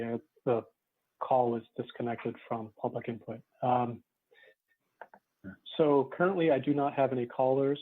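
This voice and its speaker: A man on meeting staff who handles call-ins, giving informal procedural updates. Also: mid-range voice. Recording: dull, lo-fi call audio.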